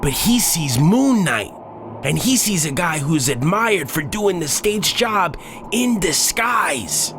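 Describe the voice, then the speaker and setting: A man's voice: a little high, very animated, takes it slowly, a bit bright, a little hiss or hum, exaggerated voice. A man playing a bitter, grandiose cop turned masked villain in a comic dub, scornful and menacing. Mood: scornful, boastful. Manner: scornful, menacing, self-aggrandizing. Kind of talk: dramatic comic dub acting. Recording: music behind the voice.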